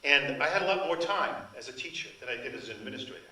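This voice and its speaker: A man's voice: mid-range voice, expressive, racing, bright, forceful tone. Older man telling stories in an echoey hall. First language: Sicilian. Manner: chatty, rambling anecdotes with restarts. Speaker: translator and author. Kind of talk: storytelling talk at a book event. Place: event hall. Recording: echoey hall, mic from far.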